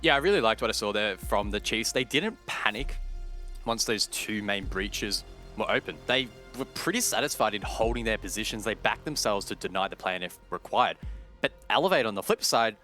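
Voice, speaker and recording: A man's voice: fairly low pitch, animated, normal speed, sharp tone. A man giving detailed, explanatory live commentary on an esports match. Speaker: esports caster. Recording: game music and gunfire behind.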